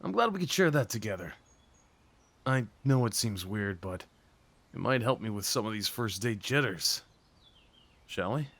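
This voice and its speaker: A man's voice: on the low side, animated, moderate pace, long pauses, a bit bright. A young man acting a shy, sweet, apologetic date in an ASMR roleplay, talking hesitantly to the listener. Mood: friendly, tentative. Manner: hesitant, apologetic, rambling, sighs and nervous chuckles.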